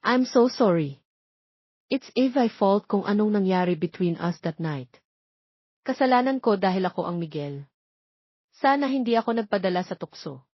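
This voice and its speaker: A woman's voice: medium pitch, lively intonation, moderate pace, long pauses, slightly rough, no echo, dull audio. A woman voicing an earnest, anxious young man in a romance audiobook, serious and pleading, mixing in English. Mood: remorseful.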